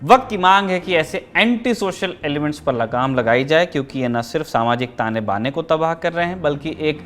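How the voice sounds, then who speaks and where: A man's voice: fairly high pitch, expressive, brisk, fairly bright tone. A man hosting a news show, delivering scripted commentary in a formal, emphatic, rhetorical manner.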